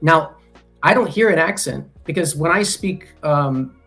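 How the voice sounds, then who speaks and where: A man's voice: on the high side, a little slow, a bit bright, soft background music. A man in a casual podcast conversation, talking at length with frequent ums and restarts. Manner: rambling, many ums and restarts. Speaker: guest, language company founder. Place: lives in Taiwan.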